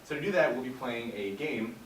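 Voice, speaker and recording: A man's voice: mid-range voice, brisk. A young man giving a class presentation, explaining casually with many fillers, recorded from the back of a large classroom. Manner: relaxed, filler-heavy explaining. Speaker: student presenter. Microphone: far camera. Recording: echoey room from far off, noisy.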